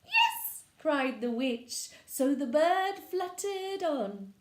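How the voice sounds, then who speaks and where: A woman reading a children's picture book aloud with animated, lively expression. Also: high voice, takes it slowly, slight background noise. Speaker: storyteller. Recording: echoey room.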